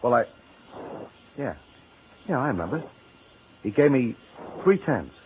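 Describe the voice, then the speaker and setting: An actor playing a defensive, jittery young robbery suspect in a 1940s radio crime drama, talking in an edgy, defiant manner. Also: male voice, mid-range voice, big pitch swings, a little slow. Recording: tinny, noisy old radio recording.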